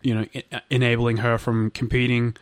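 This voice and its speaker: A man's voice: medium pitch, flat delivery, fairly quick, no echo. A man co-hosting a casual true-crime podcast, a bit nasal from a blocked nose, rambling with lots of fillers and restarts. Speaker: podcast co-host.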